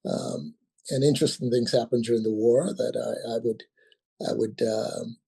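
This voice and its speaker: A man around sixty, from Nigeria, talking as an interview guest and often pausing with ums and restarts. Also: mid-range voice, fairly even intonation, creaky, dark tone, slightly rough. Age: about 60. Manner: hesitant, many ums and restarts. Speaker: guest, company founder. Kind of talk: casual interview talk.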